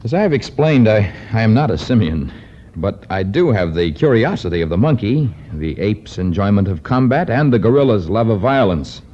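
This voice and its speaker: An actor playing a grave, fearless jungle adventurer in 1950s radio style, formal, measured and blunt. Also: male voice, on the low side, very animated, warm tone. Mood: defiant. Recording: dull, noisy 1950s radio recording.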